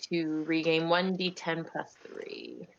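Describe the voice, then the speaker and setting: Low, creaky, unhurried woman. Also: expressive, highs cut off. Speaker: player in a tabletop role-playing game. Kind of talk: casual online gaming chat.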